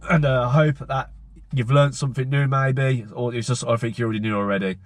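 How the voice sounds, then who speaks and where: A man with a croaky voice from a cold, hosting a casual car video and talking through details with frequent restarts and fillers. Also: mid-range voice, steady tone, brisk, no room sound. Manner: rambling with many restarts and fillers. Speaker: car channel host. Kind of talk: casual informative car vlog.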